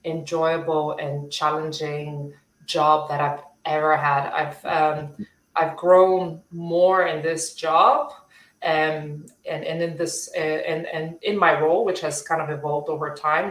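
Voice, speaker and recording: A woman in her thirties from Bulgaria, a tech leader chatting as a guest over a video call, friendly and quick to laugh. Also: sits low, level tone. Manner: friendly, laughs easily, fillers. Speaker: guest, software development leader. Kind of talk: casual interview chat. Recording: roomy echo.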